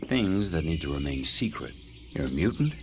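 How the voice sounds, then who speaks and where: A tough superhero in a video game. His voice sits very low and gruff, with terse, sardonic phrasing. Music plays clearly behind him. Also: very animated, moderate pace, heavily acted. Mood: guarded, sardonic.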